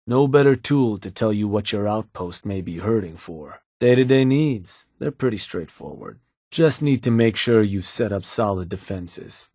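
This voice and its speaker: An actor playing a calm, seasoned male raider advisor who talks in an easygoing, folksy drawl. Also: lowish voice, dry recording. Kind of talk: game voice acting. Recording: dry studio recording.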